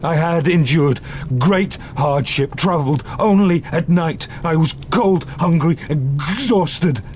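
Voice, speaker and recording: A man's voice: on the high side, a little mellow, slight background noise. An actor playing a wretched, vengeful man-made monster in a gothic audio drama, grave and anguished in his telling. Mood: haunted recollection. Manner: slow, grave, anguished storytelling.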